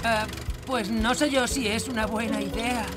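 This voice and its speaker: A man voicing a timid, gentle crane truck in a Castilian Spanish children's cartoon dub. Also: extremely high pitch, fairly even intonation, moderate pace. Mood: hesitant. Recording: music behind the voice.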